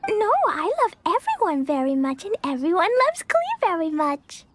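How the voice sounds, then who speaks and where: A woman's voice: very high-pitched, wildly swooping pitch, very noisy, heavily acted. A woman voicing a sweet, innocent, trusting little girl in a video game, childlike and earnest. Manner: earnest, simple, drawn-out speech. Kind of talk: animated video game character acting. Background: music.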